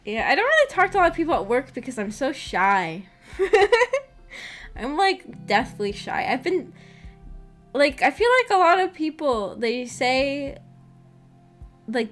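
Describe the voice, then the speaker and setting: A young woman with a fairly high voice talks casually to a live chat. She rambles and hesitates as she works out her thoughts, in a self-effacing, giggly manner. Soft background music plays underneath. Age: young adult. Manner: hesitant, rambling, thinking aloud. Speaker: streamer reading and answering live chat.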